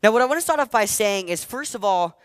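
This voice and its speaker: A fourteen-year-old boy giving a motivational talk on stage, energetic and emphatic, repeating his key phrases. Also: high-pitched, quick pace, clear, resonant tone, dry recording. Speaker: motivational speaker. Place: stage before a live audience.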